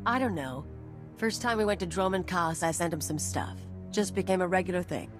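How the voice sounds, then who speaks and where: A woman's voice: lowish voice, fairly quick, music in the background, lightly acted. An actress playing a cynical, tough, self-serving mercenary woman in a video game, with a blunt, wry, streetwise delivery. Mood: defiant.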